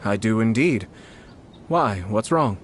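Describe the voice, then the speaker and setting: An actor playing a composed, polite young nobleman in a video game, shrewd and quietly mischievous, speaking in measured phrases. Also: fairly low pitch, animated, a little hiss or hum. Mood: playful, composed. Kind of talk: video game character acting.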